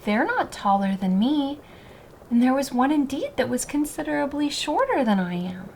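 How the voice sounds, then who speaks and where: A woman's voice: sits high, very animated, moderate pace, a little hiss or hum, pitched above the performer's own voice. A woman voicing a restless, discontented young male fir tree in a children's storybook, wistful and full of eager questions. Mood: eager, curious.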